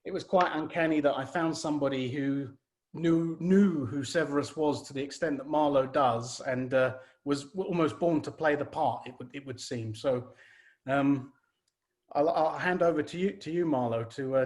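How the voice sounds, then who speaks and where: A man's voice: mid-range voice, moderate pace, a little mellow. A man speaking informally and a little hesitantly as the writer and producer hosting a cast introduction. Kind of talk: casual introductions.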